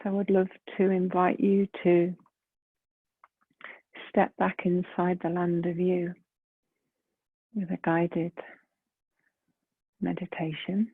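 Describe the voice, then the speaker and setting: A woman leading a guided meditation in a gentle, soft, breathy voice, unhurried, with long pauses between phrases. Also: lowish voice, level tone, no echo. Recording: muffled phone-like lo-fi sound.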